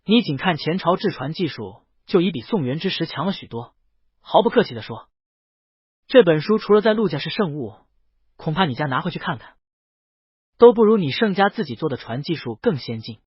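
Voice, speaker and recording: Shrewd, teasing lord of an auction house male reader acting, measured delivery, animated pitch, slightly husky. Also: dry recording, lo-fi sound, exaggerated voice. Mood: assured, explanatory.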